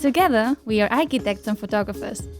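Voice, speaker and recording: A woman's voice: a little high, animated, fast talker. A woman presenter reading scripted documentary narration. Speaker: presenter, architect and photographer. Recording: music behind the voice. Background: background music.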